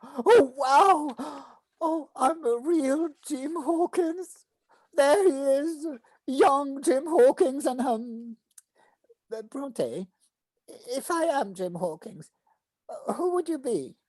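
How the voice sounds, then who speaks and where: A woman voicing a friendly, excitable young beetle in a preschool cartoon, playful and theatrical. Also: sits high, takes it slowly, no room sound, low-quality recording. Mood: delighted. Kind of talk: animated cartoon table read.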